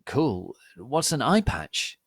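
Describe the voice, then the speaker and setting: A man voicing an emo, naive young male Satanist, whiny and excitable in an over-dramatic way. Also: mid-range voice, takes it slowly, husky, no echo, studio quality, pitched above the performer's own voice, exaggerated voice. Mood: curious.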